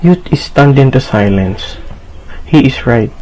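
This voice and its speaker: A man's voice: medium pitch, expressive, measured pace, darkish tone, poor audio. A man narrating a radio drama from a script in a dramatic storytelling style.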